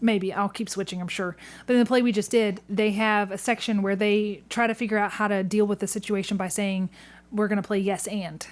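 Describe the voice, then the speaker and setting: A woman's voice: mid-range voice, fairly even intonation, brisk. Playful woman hosting a casual chat. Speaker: podcast host interviewing a guest. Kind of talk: casual chatty interview.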